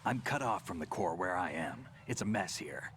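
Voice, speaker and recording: A calm, gruff soldier in a game cutscene, who gives clipped, matter-of-fact orders. His voice sits low, with a faint hiss behind it. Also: moderate pace. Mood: calm, terse. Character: gruff male soldier ally, calm and terse. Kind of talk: video game cutscene acting.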